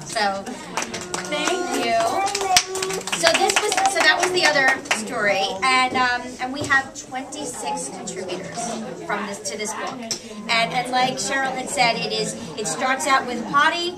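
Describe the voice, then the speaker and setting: A woman's voice: high-pitched, a little slow, loud music behind the voice. An American woman reading her own humorous personal essay aloud at a live book event, picked up from a distance. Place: public book reading in a shop. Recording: distant mic in a noisy crowded room.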